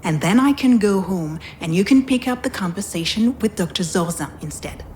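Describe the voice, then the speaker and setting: An actress playing a frank, composed, sympathetic hospital doctor in a visual novel, speaking in a measured, professional way. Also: female voice, medium pitch, lively intonation, darkish tone, slight background noise, natural voice. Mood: firm.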